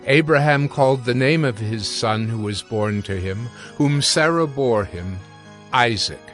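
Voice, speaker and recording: A man narrating scripture from a script in a formal, measured way. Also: mid-range voice, fairly bright tone. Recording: background music under the voice.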